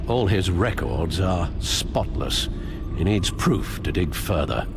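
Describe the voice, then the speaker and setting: An actor playing a devoted, weary older butler in a video game, with a gentle, hesitant manner. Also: male voice, deep voice, expressive, takes it slowly, over music, exaggerated voice. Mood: weary, concerned. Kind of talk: dramatic video game acting.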